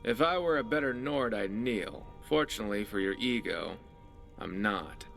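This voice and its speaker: A low-pitched, sardonic pirate man, acted by a male voice actor, delivers fantasy game dialogue with dry wit. He is a loyal adventuring companion, and music plays beneath his voice. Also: lively intonation, normal speed, vocal fry, fairly bright tone. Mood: earnest.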